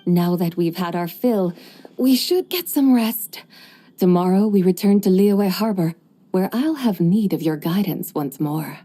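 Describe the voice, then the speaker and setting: A woman voicing a serene, graceful immortal who speaks in measured, formal phrases in a video game. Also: lowish voice, mellow.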